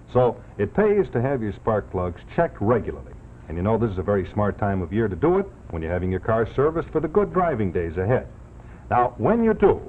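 An American man in his thirties giving a folksy, emphatic 1950s television sponsor pitch as an announcer. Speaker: commercial announcer. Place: television studio set. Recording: tinny 1950s TV soundtrack, faint noise.

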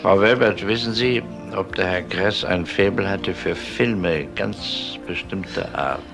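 An actor playing a methodical police inspector in a crime drama, questioning in a deliberate, polite manner. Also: male voice, deep voice, vocal fry, music in the background. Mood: polite, probing. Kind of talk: German TV crime drama acting.

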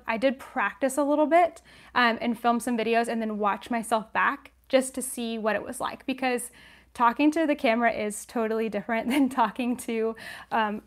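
A young woman hosting a casual livestream Q&A, chatty and encouraging, with a sniffly nose, on a lapel mic in a small room at home. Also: a little high. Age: young adult. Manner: chatty, encouraging, many ums and likes. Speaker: knitting channel host.

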